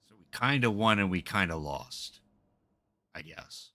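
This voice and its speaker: Deep-voiced man commentating on a game. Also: animated, a little slow, pushed and bright, dry recording. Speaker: game streamer.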